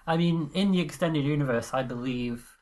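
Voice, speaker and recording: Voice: mid-range voice, moderate pace, a little mellow. A podcast co-host in casual chat who swears freely, laughs mid-sentence and often trails off and restarts.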